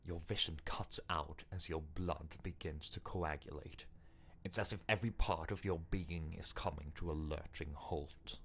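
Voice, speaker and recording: Guy doing a grave horror narrator, really deep n measured, noisy background. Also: fairly even intonation, fairly bright tone. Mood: ominous. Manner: measured, matter-of-fact. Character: grave, stern male narrator of a dark horror tale. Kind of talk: scripted second-person narration.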